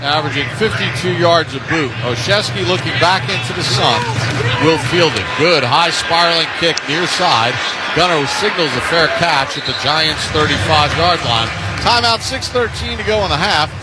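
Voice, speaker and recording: A man's voice: fairly high pitch, normal speed, heavy background noise. A man calling play-by-play in live radio football commentary. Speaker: play-by-play announcer. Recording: loud stadium ambience behind commentary.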